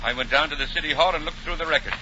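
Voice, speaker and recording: A man's voice: fairly high pitch, very fast, bright, forceful tone. An actor playing a jovial, shrewd male police detective given to teasing banter, in 1940s radio comedy style. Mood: self-assured. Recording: muffled, noisy 1940 radio broadcast.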